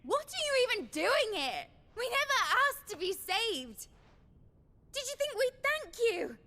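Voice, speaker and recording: A woman's voice: very high-pitched, big pitch swings, unhurried, sharp tone, full character voice. An actress playing a resentful, frightened woman in a video game, speaking in an agitated way. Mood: angry, fearful. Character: resentful, frightened woman rejecting her rescuers. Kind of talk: dramatic video game acting.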